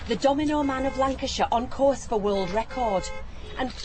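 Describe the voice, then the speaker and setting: Higher-voiced, chatty woman played by an actress. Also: moderate pace, background noise, close to an everyday voice. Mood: excited. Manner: animated, coaxing, informal. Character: eager, chatty, warm-hearted woman promoting her shy friend. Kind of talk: naturalistic radio play acting.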